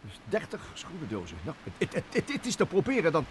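A man's voice: fairly high pitch, wildly swooping pitch, brisk, slight background noise. An actor in a vintage radio play, playing a nervous, stammering male professor who pilots a small submarine. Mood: anxious. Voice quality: stammering, hesitant.

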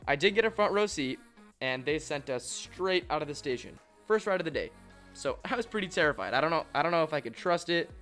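A young man telling a travel story as a vlog voice-over, in an excited, storytelling way. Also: a little high, a little fast, faint music behind. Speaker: coaster vlogger. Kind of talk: voice-over narration. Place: voice-over, not at the park.

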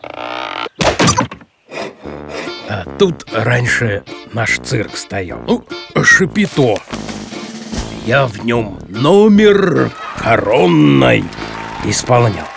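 Slow gentle elephant, creaky, mild and wistful, cartoon style. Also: male voice, medium pitch, wildly swooping pitch, over music, exaggerated voice. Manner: mild, hesitant storytelling. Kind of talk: cartoon voice acting.

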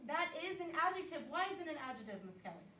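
A woman teaching a class of young children, lively and coaxing, with call-and-response cues. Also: sits high, fast talker. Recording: echoey classroom from far off, light noise.

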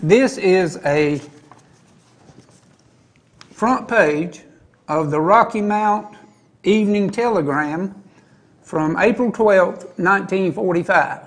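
A man's voice: high voice, slow, long pauses. A man with a Southern US accent presenting a shopping show in a folksy, casual, rambling way. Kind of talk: chatty live sales pitch.

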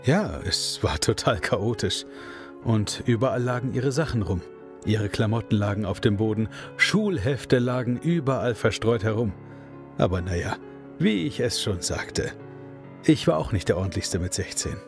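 A low-voiced, hesitant father with music underneath, audio drama. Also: animated, moderate pace. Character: grieving middle-aged father of a missing girl.

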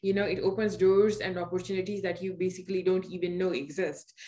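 A woman's voice: fairly low pitch, steady tone, fast, mellow. Woman interviewer on a video call. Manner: asks several questions at once, hesitant fillers. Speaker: interviewer, series host. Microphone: video call webcam.

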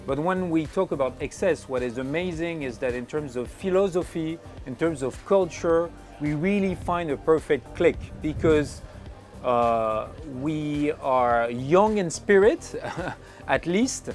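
A man's voice: on the high side, lively intonation, measured pace. A man in his forties speaks on a lapel mic inside a boat's salon. He talks upbeat and fluently, leaning on fillers like "obviously" and "basically". Light music plays faintly behind him. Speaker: boat brand manager interviewed. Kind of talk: interview answers.

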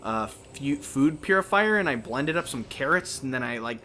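A man's voice: medium pitch, expressive, moderate pace, noisy background. A man co-hosting a gaming show, bantering casually in a crude, goofy, sweary manner over a video game.

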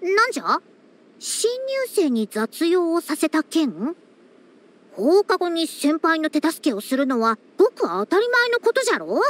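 A woman's voice: extremely high pitch, sing-song, unhurried, a bit bright, full character voice. A woman voicing a youthful-sounding, century-old female scholar in a game, proud and bossy, with haughty old-fashioned phrasing. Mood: flustered, indignant. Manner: archaic old-person phrasing, haughty, schoolmarmish. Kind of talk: scripted game character voice lines.

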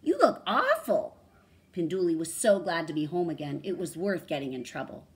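A woman's voice: sits low, fairly quick, darkish tone. A woman in her thirties or forties reading a storybook aloud and explaining words. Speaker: read-aloud host. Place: small room indoors.

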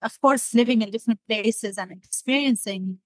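A woman's voice: fairly high pitch, normal speed, no echo, poor audio. A woman from Syria in English, speaking thoughtfully as an interview guest and often searching for words. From Damascus, Syria. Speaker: podcast guest, designer.

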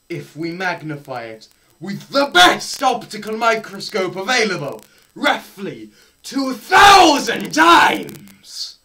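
A young man giving a dramatised science lecture, theatrical, swinging between shouts and hushed murmurs, with pauses and repetition for effect. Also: high-pitched, low-quality recording. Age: young adult. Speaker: presenter. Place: small bare indoor room.

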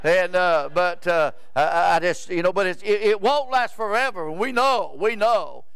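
A man's voice: sits high, measured pace, vocal fry, a bit bright, smooth. A man, preaching a rambling, folksy sermon in a church sanctuary and often stopping to ask "Amen?". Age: 70s. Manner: rambling, repeats words, asks "Amen?" of the crowd.

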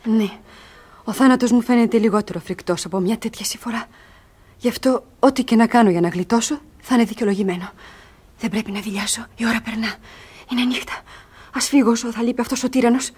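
A high, husky young ward. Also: female voice, a little mellow. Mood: desperate. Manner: dramatic, emphatic. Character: young female ward, cunning, passionate, desperate lover. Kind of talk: Greek radio theatre comedy acting.

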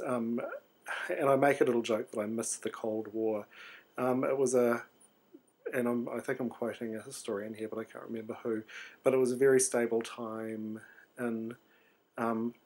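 A New man in his thirties talks through a video diary at a mid pitch. He sounds tired and drowsy, and his speech halts on ums and false starts as he thinks aloud. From New Zealand. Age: 30s. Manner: hesitant, many ums and restarts. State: sleepy. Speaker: artist video diarist. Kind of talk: rambling video diary monologue.

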